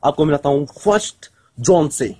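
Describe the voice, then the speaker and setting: A man's voice: a little high, lively intonation, normal speed, dark tone. A playful young man from India, vlogging casually to a camera held at arm's length, at home and outdoors in a village. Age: young adult. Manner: playful, jumps between Hindi and broken English. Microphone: handheld camera at arm's length.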